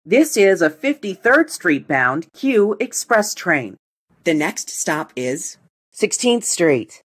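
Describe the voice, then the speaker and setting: Lady doing subway announcements, slow and formal, kinda husky. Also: on the low side, big pitch swings. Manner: formal, measured, segmented phrasing. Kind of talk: scripted transit announcements.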